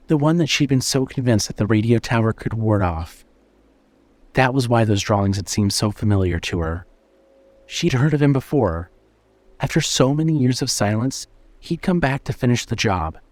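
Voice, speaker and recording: A man narrating a scripted horror story in a measured, suspenseful way. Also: mid-range voice, darkish tone, dry recording.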